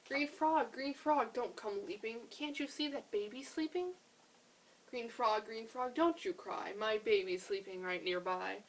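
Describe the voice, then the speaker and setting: A woman's voice: fairly high pitch, a little slow, a little hiss or hum, poor audio. A woman voicing a protective mother in a storybook lullaby, chanting her pleas in rhythm. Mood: chanting, pleading. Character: protective mother shushing animals in lullaby tale.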